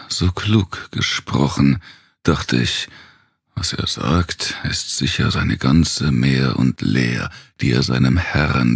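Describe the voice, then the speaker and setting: Male narrator for Gothic verse: brooding, theatrical, heavy gravel in the throat, very close dry sound. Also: a bass voice, no room sound. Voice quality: gravelly, husky, rasping. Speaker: narrator reading a horror poem aloud. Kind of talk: dramatic literary recitation, dark Gothic poetry.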